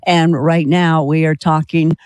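A woman's voice: deep voice, flat delivery, unhurried, darkish tone, no echo, clean studio sound. Chatty American woman hosting radio. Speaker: radio talk show host. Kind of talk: casual late-night radio interview.

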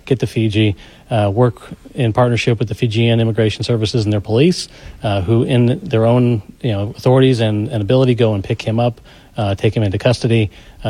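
Quick, mellow low-voiced man. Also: dry recording. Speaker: FBI special agent being interviewed. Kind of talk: interview recollection.

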